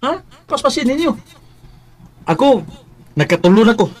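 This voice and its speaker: A chummy, prodding man, everyone's drinking buddy. His voice is high and swings widely in pitch, animated and familiar in radio drama style. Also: moderate pace, exaggerated voice. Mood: jovial, teasing. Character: chummy, excitable male best friend and drinking buddy.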